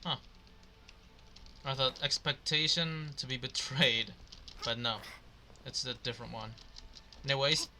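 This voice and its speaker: A man commenting casually as he plays a game, slow and hesitant. His mid-pitched voice is bright, with faint noise behind. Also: steady tone. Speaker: gamer playing and reacting. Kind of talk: casual let's play commentary, reading choices aloud.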